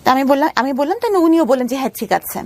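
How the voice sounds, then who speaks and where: A Bangladeshi woman telling her personal story as an interview guest, halting and repeating phrases for emphasis. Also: sits high, lively intonation.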